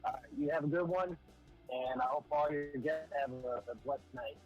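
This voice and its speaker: A man's voice: medium pitch, moderate pace, muffled recording, faint music behind. A man calling in to a live show while driving his truck, polite and friendly. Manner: polite, friendly well-wishing. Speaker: caller.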